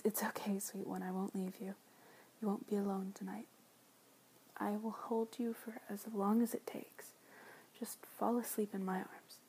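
A woman speaks in a slow, hushed ASMR whisper, drawing out each soft phrase. Her tone is warm and mellow rather than bright, gentle and reassuring throughout. A faint hiss sits under the quiet voice. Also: medium pitch, poor audio. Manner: soft soothing whisper, tender pet names, gentle repeats. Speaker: audio roleplay performer.

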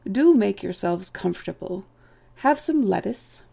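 Woman voicing a genteel, gravelly old chief rabbit. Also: medium pitch, lively intonation, dark tone, exaggerated voice. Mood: vaguely courteous. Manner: genteel, vague, patronizing, unhurried. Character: elderly male chief rabbit, politely detached, condescending. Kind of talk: audiobook character voice.

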